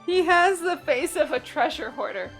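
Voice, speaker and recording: High-voiced woman doing playful game commentary. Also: expressive, moderate pace, soft background music. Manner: joking, voices mock quotes. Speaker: gaming commentator. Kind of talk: casual playful gameplay commentary.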